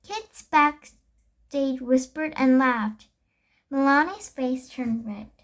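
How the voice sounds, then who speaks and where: A very young-sounding reader with a high voice reads a children's chapter book aloud, stumbling over words and correcting them. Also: slow pace, fairly bright tone. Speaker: storybook reader.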